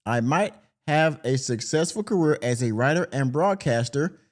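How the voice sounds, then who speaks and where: A man's voice: on the high side, darkish tone. Drawling sarcastic man hosting a podcast. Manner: drawling, sarcastic, stretches words for emphasis. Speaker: podcast host. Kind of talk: reading an article aloud with commentary.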